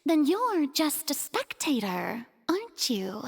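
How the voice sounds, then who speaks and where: A woman's voice: high-pitched, huge pitch swings, slow, gravelly, big-room sound, exaggerated voice. A woman playing a haughty, theatrical female god of justice in a video game, with grandiose, formal, dramatic delivery. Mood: sorrowful, solemn. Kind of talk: scripted video game acting.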